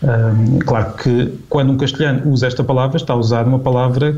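A man's voice: mid-range voice, steady tone, fast, warm tone, muffled recording. A man with a European Portuguese accent explains things conversationally as an interview guest, thoughtfully and often rephrasing mid-sentence. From Peniche, Portugal. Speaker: linguist and author, interview guest.

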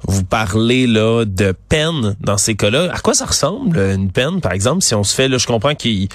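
A man's voice: mid-range voice, big pitch swings, moderate pace, no echo. Québécois guy hosting radio, chatty loose questions, dry close sound. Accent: Québécois French. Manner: conversational, chatty questions with many tags. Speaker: radio host interviewer. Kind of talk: casual radio interview.

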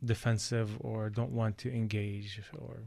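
Gravelly podcast host asking long questions. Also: male voice, lowish voice, flat delivery, measured pace, warm tone, dry recording. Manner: asks long, rambling questions. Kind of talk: casual interview.

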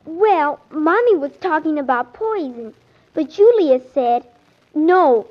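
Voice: sits high, lively intonation, slow. A performer playing an innocent, tattling little daughter in a 1940s radio comedy, reciting what she overheard. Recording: muffled 1940s radio recording.

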